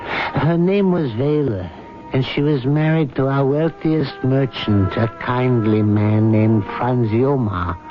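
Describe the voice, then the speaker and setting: A man's voice: medium pitch, slow pace, close to an everyday voice. An actor playing a humble, wistful fool, a rabbi's servant, telling his story reflectively in a radio drama. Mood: wistful, confiding. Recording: dull lo-fi old radio broadcast, loud music.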